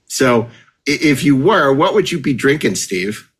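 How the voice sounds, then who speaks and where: A man hosting a podcast with casual, jokey banter and a slightly halting delivery. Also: medium pitch, sing-song, husky. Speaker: podcast host.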